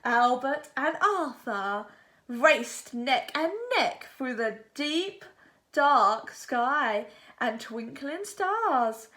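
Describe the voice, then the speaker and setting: A woman storyteller reads a children's picture book with a high, lively voice. She is expressive and dramatic, taking her time over the words. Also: extremely slow. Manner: expressive, dramatic delivery with acted sound words. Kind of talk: animated read-aloud of a picture book.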